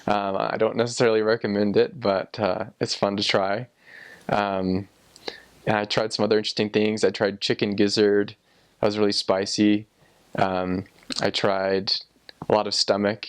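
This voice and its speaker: Young man, fairly low voice, casual storytelling, informal, rambling, thinking aloud. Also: level tone, normal speed, clear, resonant tone. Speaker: former missionary sharing experiences.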